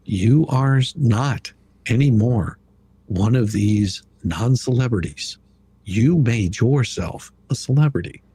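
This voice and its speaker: A man with a slightly husky voice hosting a casual livestream commentary in a blunt, mocking, colloquial way. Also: mid-range voice, slow pace, mellow, slight background noise. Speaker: livestream host.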